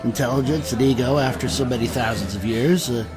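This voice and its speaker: A man plays a scholarly sage in a fantasy audio drama. His mid-pitched voice is halting and pedantic, stumbling through explanations over music. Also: close to an everyday voice. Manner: halting, pedantic, full of ums. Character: hesitant, scholarly male sage explaining magic.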